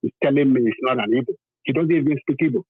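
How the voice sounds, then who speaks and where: A man's voice: medium pitch, very fast, slightly rough, dry recording. Nigerian man on a thin phone line. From southern Nigeria. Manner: emphatic, repeats phrases for stress. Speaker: caller to a talk programme. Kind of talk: impassioned phone-in testimony.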